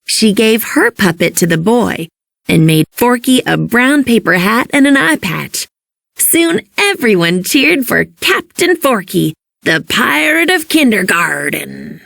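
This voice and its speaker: A woman reading a children's storybook as an expressive narrator. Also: a little high, unhurried, creaky, dry recording, studio quality.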